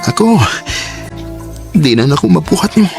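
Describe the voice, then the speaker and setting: A man's voice: on the high side, big pitch swings, moderate pace, creaky. An actor playing an earnest young man courting his sweetheart, speaking tenderly and pleadingly in a radio drama. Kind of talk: Cebuano radio drama acting. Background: background music.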